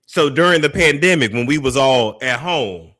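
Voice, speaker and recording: A man's voice: mid-range voice, expressive, moderate pace, fairly bright tone. A man hosting a commentary show, reacting to clips in a gossipy, skeptical way. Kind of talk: reaction commentary on a played clip.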